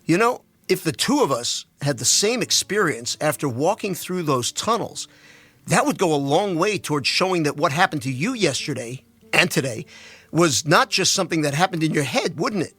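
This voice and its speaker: Bright, husky genial man, played by an actor. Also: on the high side, big pitch swings, moderate pace, no room sound, full character voice. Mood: intrigued. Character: genial married man, politically minded science fiction fan. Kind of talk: dramatic reading of novel dialogue.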